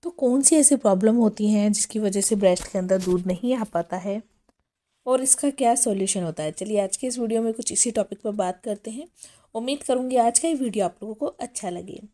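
A woman hosting a pregnancy health channel, explaining step by step and calling her viewers friends, her voice a little hoarse from a cough. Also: medium pitch, fairly quick, dark tone. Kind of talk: informational talk.

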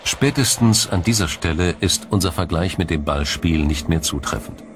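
A man reading a scripted science documentary in a measured, formal, explanatory manner. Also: low-pitched, animated, noisy background. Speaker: documentary narrator.

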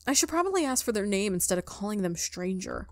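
A woman's voice: mid-range voice, big pitch swings, quick pace, darkish tone, dry recording. Woman reading a story game aloud, dry sound. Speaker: gamer doing a playthrough, reading aloud. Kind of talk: reading a visual novel aloud with casual commentary.

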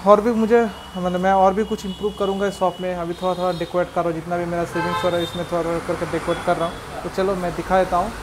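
High-voiced young man vlogging on a phone. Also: level tone, racing, hardly any pauses. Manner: chatty, loose and rambling, with frequent restarts. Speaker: small cafe owner and vlogger. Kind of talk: casual selfie vlog and shop tour. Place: small roadside tea shop. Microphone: phone camera held at arm's length. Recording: noisy phone recording with street sounds.

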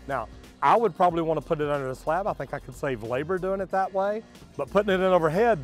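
Folksy middle-aged plumber outdoors. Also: male voice, fairly high pitch. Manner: folksy, explanatory, addresses viewers directly. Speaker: plumber, channel host. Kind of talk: on-site walkthrough explaining. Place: outdoors at a building site on a concrete slab.

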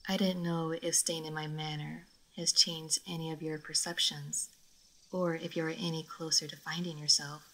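A woman playing a gentle, melancholy old vampire lady in an intimate roleplay audio drama, speaking softly and formally. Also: low-pitched, level tone, moderate pace, rough recording. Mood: gently curious. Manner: soft, formal, wistful, sometimes near whispering.

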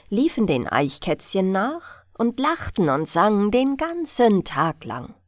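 A woman narrating a bedtime audiobook in a gentle, expressive, deliberate storytelling style. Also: medium pitch, dry recording, clean studio sound.

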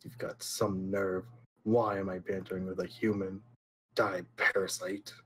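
A man's voice: deep voice, flat delivery, measured pace, slightly rough. A man playing a bitter, contemptuous elf hunter with a menacing manner, in a fantasy animation audition. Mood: scornful. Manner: scornful, menacing. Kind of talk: character voice acting audition.

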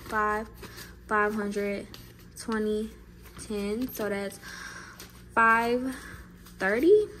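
A woman's voice: medium pitch, slight background noise, rough recording. A young woman in her twenties chatting casually to a phone camera in her home kitchen, laid-back and full of fillers. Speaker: vlogger. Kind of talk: casual vlog chat to camera.

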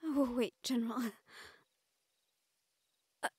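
A woman's voice: a little high, steady tone, slow pace, no echo, studio quality. A woman playing a shy, sweet, self-conscious young nephilim woman in love, speaking hesitantly and tenderly in a romantic audio roleplay. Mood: flustered. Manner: hesitant, tender, breathy hushed passages.

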